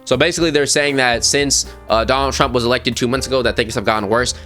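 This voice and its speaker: A young man talks quickly in a bright, forceful voice that sits a little high for a man. He speaks casually and with energy, full of slang and "bro." Faint music plays underneath. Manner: animated, slangy, says "bro" a lot. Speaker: commentary channel host. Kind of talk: casual commentary vlog.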